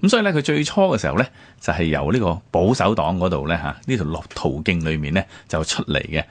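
Low-voiced, quick Hong Kong Cantonese man. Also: lively intonation, dry recording. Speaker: radio programme co-host. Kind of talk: conversational history commentary on radio.

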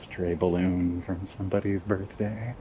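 A man giving a casual walking tour outdoors in a city park, with relaxed, wry asides to viewers. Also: sits low, unhurried, noisy background. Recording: faint outdoor ambience.

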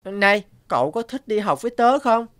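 A kind young cartoon schoolboy, played by a woman, earnest and given to musing. The pitch is moderate, and music plays clearly behind the voice. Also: lively intonation, fairly quick, lightly acted. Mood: curious. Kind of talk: animated cartoon dubbing. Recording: prominent music under the voice.

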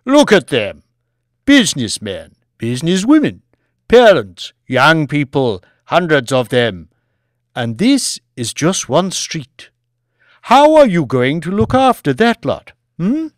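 An imperious older English lord, acted by a male narrator, speaks grandly and evasively in an audiobook drama. His pitch sits high and swings widely, with emphatic, stretched-out phrases in a close, dry recording. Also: sing-song, no echo. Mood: probing, lofty. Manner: grandiose, emphatic, drawn-out delivery.

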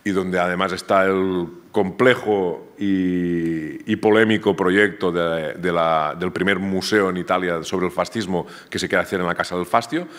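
A man with a Catalan accent and a very low voice lectures at a seminar table in a university room. He speaks loosely, often stopping to repeat a word or restart a phrase. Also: steady tone, a little slow, few pauses, fairly bright tone. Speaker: academic speaker. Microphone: table microphone, far camera.

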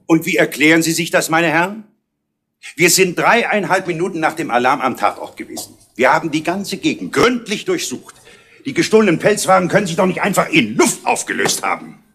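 An actor playing a stern senior police inspector in a 1970s radio crime drama, speaking formally. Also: male voice, fairly high pitch, lively intonation, fairly quick, fairly bright tone, breathy edge, full character voice.

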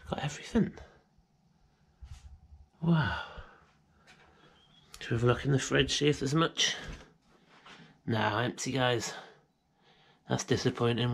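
A British man with a gravelly edge talks casually to his viewers as an urban exploration vlogger. His voice sits at an ordinary pitch. It is picked up on a handheld camera indoors, with faint noise. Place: inside rooms of an abandoned house. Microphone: handheld action camera on gimbal.

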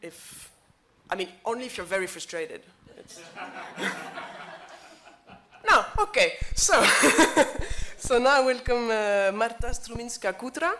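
A woman chairs a conference panel with a bright, clear voice, introducing speakers and joking lightly. Faint room noise sits behind her in the hall. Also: a little high, expressive, slow pace.

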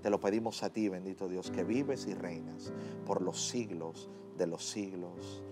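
A male TV host leading a slow, fervent prayer in a studio, with a Dominican Spanish accent and a steady repeating cadence. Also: deep voice. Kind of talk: leading a spoken prayer on a TV show. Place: TV studio set. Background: soft piano music.

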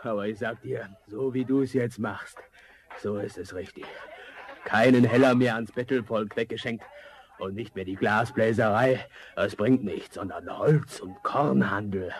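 An actor playing a fat, jovial, money-minded tavern crony in a German fairy-tale radio play, chummy and drawn-out. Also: male voice, lowish voice. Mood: jovial, approving.

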